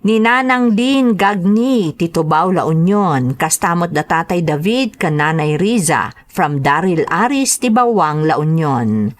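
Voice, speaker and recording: A woman's voice: lowish voice, animated, measured pace. A Filipino woman with an Ilocano accent, hosting a radio drama and reading out greetings and letters. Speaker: radio drama host and narrator.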